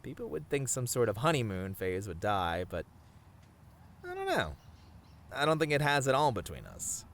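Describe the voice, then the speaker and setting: A man playing a sweet, bashful young boyfriend in a romantic roleplay, talking to the listener in a tender, halting, earnest way. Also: medium pitch, wildly swooping pitch. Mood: tender, sincere. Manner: soft-hearted, halting, sighing, chuckling, gently earnest.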